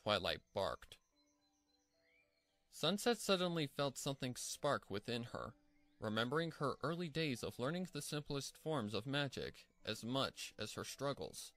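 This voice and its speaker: A man reads scripted fiction as the narrator. His mid-pitched voice moves in a lively, animated way. Faint music plays behind the storytelling. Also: unhurried, no echo.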